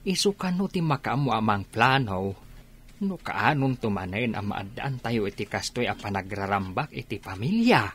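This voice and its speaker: Emotional old father, swooping pitch. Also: lively intonation, normal speed, fairly bright tone, husky. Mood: grateful. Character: emotional elderly father, grateful and devout. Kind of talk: Philippine radio drama acting.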